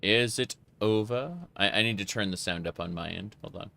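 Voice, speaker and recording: A man's voice: fairly low pitch, moderate pace, creaky, sharp tone, a little hiss or hum. A man doing casual live gameplay commentary as a streamer, reacting off the cuff. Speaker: game streamer. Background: game music.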